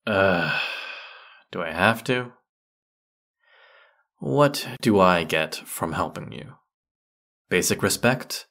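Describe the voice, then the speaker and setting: A man's voice: low voice, animated, takes it slowly, gravelly, pauses often, bright, forceful tone, full character voice. A man playing a grumpy, haughty century-old teapot spirit in an audio roleplay, scolding the listener with put-upon sarcasm. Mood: scolding, dismissive.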